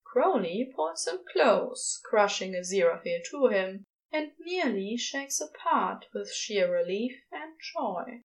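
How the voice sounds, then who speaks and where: Slow, expressive woman narrator. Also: mid-range voice, rough recording. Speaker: podfic narrator. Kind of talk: expressive scripted fiction narration.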